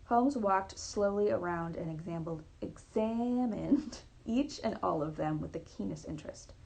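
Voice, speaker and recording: A woman's voice: on the low side, measured pace, gravelly, a little mellow, poor audio. A woman narrating a classic story aloud, expressively and with the occasional stumble over words. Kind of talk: read-aloud literary narration.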